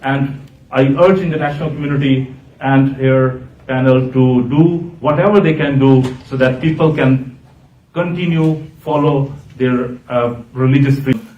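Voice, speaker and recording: A man's voice: mid-range voice, steady tone, measured pace, darkish tone, recorded from a distance, dull audio. A man, speaking earnestly on a conference panel, often stopping to correct himself. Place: large hotel conference hall. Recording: echoey hall, voice from off the mic.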